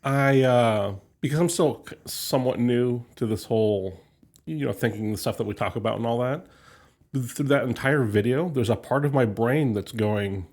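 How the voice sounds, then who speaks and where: A man's voice: mid-range voice, moderate pace, darkish tone. A man co-hosting a podcast in casual chat, talking hesitantly with frequent fillers. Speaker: podcast co-host.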